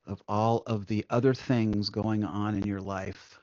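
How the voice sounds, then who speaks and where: Man, meditation guide, low voice, soothing, slow pauses, dry close sound. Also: level tone, no room sound, highs cut off. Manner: gentle, soothing, unhurried with long pauses. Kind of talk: guided meditation, spoken instruction.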